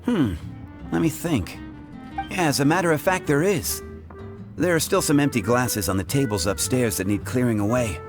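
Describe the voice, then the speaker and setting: A man's voice: mid-range voice, moderate pace, lightly acted. Man playing a chatty tavern keeper over music. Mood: affable, thoughtful. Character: busy, affable fantasy tavern keeper, adult man. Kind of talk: scripted video game acting. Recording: game music behind the voice.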